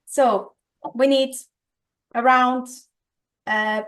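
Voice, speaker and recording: A woman from Portugal with a Portuguese accent, explaining a recipe step by step in a calm, patient way. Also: high voice, very slow. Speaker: guest instructor. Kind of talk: casual recipe instruction.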